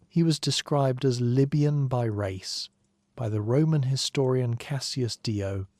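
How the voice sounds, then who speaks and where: A man narrating a documentary in a gentle, even, scripted way, with dry asides. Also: medium pitch, no room sound. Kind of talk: calm scripted sleep narration.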